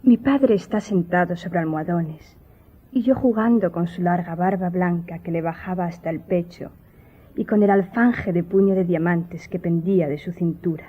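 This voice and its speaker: Melancholy young Greek noblewoman, low-voiced. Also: fairly even intonation, slightly rough. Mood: wistful. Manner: solemn, formal, measured storytelling. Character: melancholy young Greek noblewoman recounting her childhood memories. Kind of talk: radio drama acting. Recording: muffled audio with music behind.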